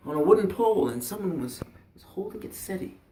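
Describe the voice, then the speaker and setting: A man improvising a folk tale as narrator, with dramatic, halting pauses. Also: high-pitched, animated, mellow. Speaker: storyteller. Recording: roomy, echoey, distant mic, faint noise.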